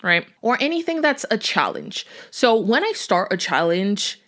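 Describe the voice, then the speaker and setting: A woman's voice: medium pitch, big pitch swings, a little slow, fairly bright tone. A young woman whose first language is Portuguese, hosting a faith-based podcast in an earnest, chatty way. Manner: earnest, chatty, with fillers and small laughs. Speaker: podcast host.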